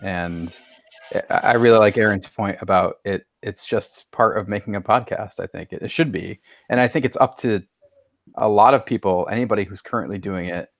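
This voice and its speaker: A man speaking in a measured, thoughtful way as a panelist in a casual podcast discussion. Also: on the low side, dry recording, studio quality.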